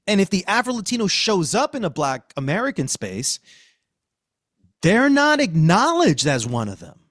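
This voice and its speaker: High-voiced, animated man from New York. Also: moderate pace, no echo, clean studio sound. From Queens, New York. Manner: emphatic, slangy, street-casual. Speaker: podcast host. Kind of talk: casual podcast discussion.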